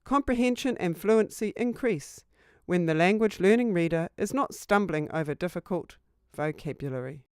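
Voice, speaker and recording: A woman's voice: on the low side, expressive, moderate pace, hardly any pauses, a little mellow, clean tone, dry recording, clean studio sound. A woman reading a scripted instructional narration for a training video.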